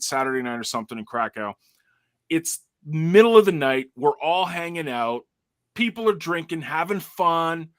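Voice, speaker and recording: A man hosting a talk show, telling a story in an energetic way and often restarting his words. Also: fairly high pitch, dry recording, clean studio sound. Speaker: show host. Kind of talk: casual storytelling chat.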